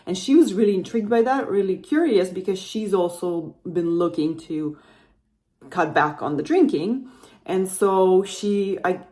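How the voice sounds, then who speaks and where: A woman's voice: mid-range voice, a little slow, mellow. A woman from Russia hosting a podcast, telling her personal story in a chatty, rambling way and often correcting herself mid-sentence. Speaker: podcast host.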